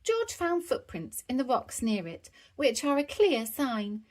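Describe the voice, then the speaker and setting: Casting: woman to play brainy small elephant boy for a storybook, raised pitch, precise, earnest explanations. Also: big pitch swings, measured pace, darkish tone. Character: clever young boy elephant, earnest little know-it-all.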